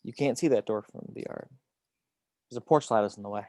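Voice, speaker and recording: A man playing an intense, brooding investigator with a husky, halting delivery in a drama table read. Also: medium pitch, dark tone, no echo, clean studio sound, lightly acted. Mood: intense, puzzling. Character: Will Graham, intense, haunted male FBI investigator.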